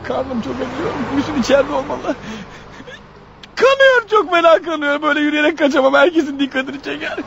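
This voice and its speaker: An actor playing a paranoid, desperate killer on the run in a radio drama, thinking aloud in an agitated, urgent manner. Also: male voice, very high-pitched, a little fast, gravelly, highs cut off, music in the background. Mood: pained, desperate. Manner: agitated, urgent, talking his thoughts out loud. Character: desperate, paranoid fugitive killer, a married man. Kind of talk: radio drama acting with spoken-aloud thoughts.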